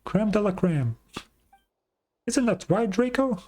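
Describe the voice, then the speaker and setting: A woman voicing a tiny, excitable, childish fairy companion in a video game, squeaky and cheeky. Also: takes it slowly, slightly rough. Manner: talks of herself in third person, pouty.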